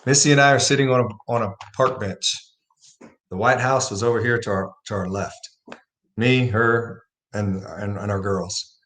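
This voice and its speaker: A man's voice: on the low side, fairly even intonation, moderate pace, fairly bright tone. A man hosting a livestream, talking casually about faith and telling a personal story with rising emphasis. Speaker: livestream host.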